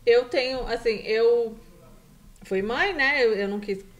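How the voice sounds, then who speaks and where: A middle-aged woman from Brazil talks on a casual live stream. Her voice sits fairly high, and her talk is warm and chatty, full of quoted asides. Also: measured pace. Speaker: guest.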